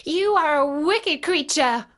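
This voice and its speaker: A woman's voice: high-pitched, lively intonation, very slow, sharp tone, voice pushed up, full character voice. A woman voicing a plucky, earnest little girl in a storybook tale, speaking emphatically. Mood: angry.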